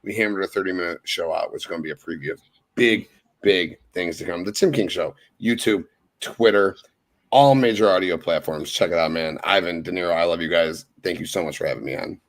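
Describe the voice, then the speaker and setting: A man with a fairly low voice talks as a podcast guest. He is laid-back and friendly, running through thanks and plugs in a relaxed way. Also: a little fast. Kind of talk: casual podcast chat.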